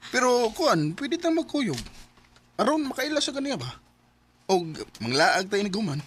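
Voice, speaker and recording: An earnest young Filipino man in a Bisaya radio drama. His highish voice swings expressively with a Cebuano accent, over a noisy background. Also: big pitch swings, normal speed, a bit bright. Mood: hesitant. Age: young adult. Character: earnest young man secretly fond of his female best friend.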